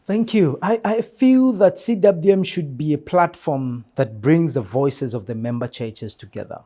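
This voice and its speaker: A man with a Zambian accent giving earnest interview answers as a church mission secretary, measured and emphatic, repeating phrases for emphasis. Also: a little high, animated, warm tone.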